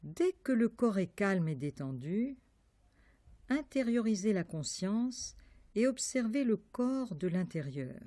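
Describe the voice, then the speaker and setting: A woman leading a guided yoga meditation, giving calm, measured instructions with pauses between phrases. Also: medium pitch, no room sound. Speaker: yoga nidra meditation teacher.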